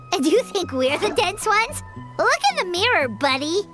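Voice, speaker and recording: A woman voicing a tiny, childlike floating companion in a video game, cheeky, excitable and squeaky. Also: a little slow, loud music behind the voice, full character voice. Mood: cheeky, flustered. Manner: squeaky, exclamatory. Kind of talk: cartoonish video game voice acting.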